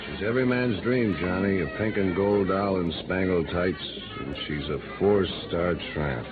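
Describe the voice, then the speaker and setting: An actor playing a disillusioned, hard-drinking American newspaper reporter in 1950s radio noir, sardonic, bitter and world-weary. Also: male voice, low-pitched, a little slow, narrow, tinny audio. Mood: cynical. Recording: band-limited old radio recording with music.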